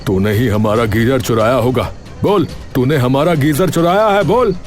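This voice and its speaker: A man's voice: mid-range voice, normal speed, close to an everyday voice. A man playing a bossy, sly older village man in a cartoon story, with a colloquial, blustery delivery. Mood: angry. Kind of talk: animated cartoon story dialogue. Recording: clear background music under voice. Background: background music.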